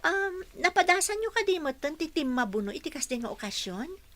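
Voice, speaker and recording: An actress playing a polite, eager young housekeeper in a radio drama. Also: female voice, very high voice, big pitch swings, fairly quick, a bit bright, exaggerated voice. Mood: earnest. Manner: polite, deferential.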